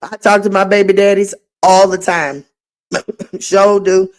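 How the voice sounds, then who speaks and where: A middle-aged woman with a slightly raspy voice, hosting a casual late-night livestream and reading comments aloud in a sassy way. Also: mid-range voice, steady tone, takes it slowly. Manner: sassy, drawling, reads chat aloud. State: voice getting raspy. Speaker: livestream host.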